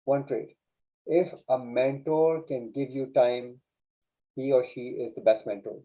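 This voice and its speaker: A man's voice: medium pitch, measured pace. A man whose first language is Urdu, a guest coach telling a story in an earnest, casual way with many restarts. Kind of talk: casual interview chat. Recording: dull lo-fi sound.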